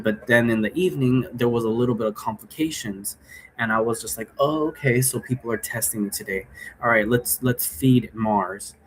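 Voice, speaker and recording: A man's voice: lowish voice, rough recording. Casual, rambling man with background noise. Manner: often tags sentences with a questioning "right?". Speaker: vlogger explaining role play rules. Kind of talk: casual, rambling explanatory monologue.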